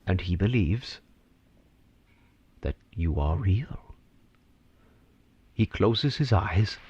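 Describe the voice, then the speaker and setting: A man narrating a scripted story theatrically, with dramatic, deliberate phrasing and pauses. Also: low voice, animated, darkish tone, slightly rough, lo-fi sound.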